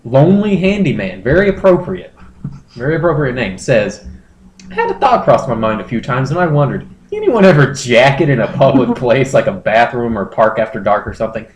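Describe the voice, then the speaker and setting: A man's voice: medium pitch, very animated, fairly quick. Male comedy podcast host, mid-range voice, wry and crude, casual readings, roomy echo with faint noise. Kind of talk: casual comic reading of internet posts.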